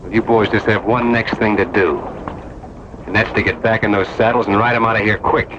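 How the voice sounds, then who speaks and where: An actor playing a stubborn, grim, commanding rancher with terse, tough talk in an old-time radio western. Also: male voice, fairly low pitch, steady tone, a little fast, lightly acted. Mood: defiant, hard. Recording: muffled, noisy old radio broadcast.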